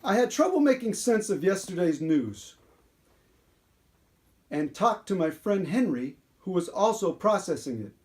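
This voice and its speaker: Chatty unicorn story-time presenter, played by a man: high voice, earnest read-aloud delivery with halting asides. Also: sing-song. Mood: earnest, measured. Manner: halting, filler-heavy asides, earnest reading. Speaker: show host.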